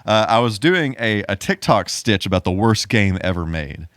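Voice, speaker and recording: A man with a fairly low voice hosts a casual podcast. His delivery is playful and a bit goofy, swinging up and down in an animated way. The sound is dry and close. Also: moderate pace, no room sound. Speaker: podcast host.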